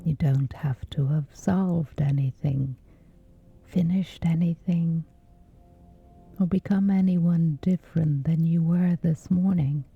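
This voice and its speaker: A woman softly guiding a scripted sleep meditation in a breathy, gentle, soothing hush with long pauses. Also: low voice, narrow, tinny audio, faint music behind. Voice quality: breathy, whispery soft.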